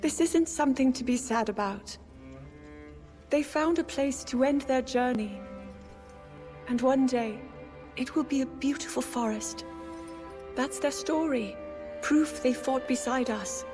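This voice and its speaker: Slow, gentle, resolute princess. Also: female voice, high voice, faint music behind. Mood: gentle consolation. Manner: solemn, measured. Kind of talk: scripted video game cutscene acting.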